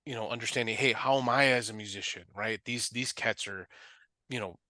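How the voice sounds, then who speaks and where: A man hosting a podcast interview, talking casually and reacting with quick excited interjections. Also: mid-range voice, no echo. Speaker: podcast host.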